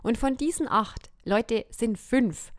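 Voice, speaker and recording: A woman's voice: on the high side, slow pace, no echo. Woman astrologer chatting on her podcast, bit of a stuffy nose, laughs a lot, super dry close sound. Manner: candid, chatty, laughs often. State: slightly stuffed-up nose from a cold. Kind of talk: casual solo podcast talk.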